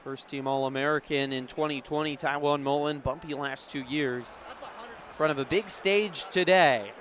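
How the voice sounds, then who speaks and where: A man doing live radio play-by-play, moving between measured setup and urgent calls. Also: a little high, fairly even intonation, a bit bright. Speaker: play-by-play sports announcer. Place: outdoor stadium broadcast position. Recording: background noise of an outdoor stadium.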